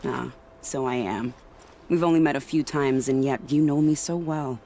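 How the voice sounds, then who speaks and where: A woman's voice: extremely low pitch, normal speed, mellow, a little hiss or hum. A woman acting a poised, sly spy with a fierce streak in a video game, arch and dramatic. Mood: sly, playful. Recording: music behind the voice.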